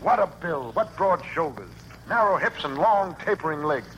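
A fussy, flattering tailor from an old radio comedy gushes over his customer with sly digs. The voice sits high and swoops up and down. A thick hiss runs under it. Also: normal speed, highs cut off. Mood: gushing. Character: flattering fussy male tailor, middle-aged. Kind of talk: 1940s radio comedy acting. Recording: hissy old radio broadcast recording.

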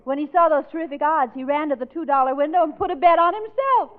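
An actress playing a composed young secretary in a 1940s radio comedy, delivering polite, teasing comebacks. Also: female voice, high voice, fast, very noisy, lightly acted. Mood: sweetly teasing. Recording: tinny old radio broadcast, live audience.

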